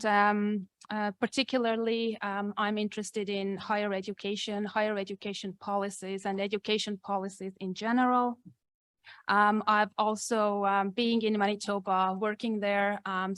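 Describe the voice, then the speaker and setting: Woman panelist, measured and evenly pitched. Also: no echo, studio quality. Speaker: academic panelist. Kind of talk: prepared panel self-introduction.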